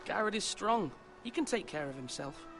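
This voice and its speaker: A man playing a gentle, untested boy lord and reassuring elder brother in a fantasy game, earnest and youthful in manner. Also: sits high, expressive, normal speed. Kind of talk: dramatic video game voice acting. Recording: faint music behind the voice.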